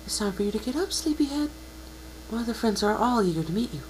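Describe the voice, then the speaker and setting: A woman voicing a shy, gentle young female pegasus pony, hesitant, polite and caring, in a fan dramatic reading. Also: a little high, animated, quick pace, natural voice. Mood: caring, welcoming. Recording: noisy home recording with mains hum.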